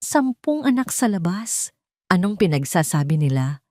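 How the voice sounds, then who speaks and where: A woman's voice: on the low side, big pitch swings, normal speed, darkish tone, no echo, studio quality. A woman voicing a distressed, protective mother in short, emphatic sentences. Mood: bewildered. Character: distressed, protective young mother, wounded and defiant.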